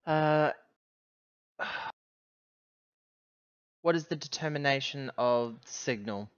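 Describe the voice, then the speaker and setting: A man playing a tabletop game over a muffled online voice call, speaking haltingly as he thinks aloud. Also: medium pitch, smooth, no echo. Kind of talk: casual game chat.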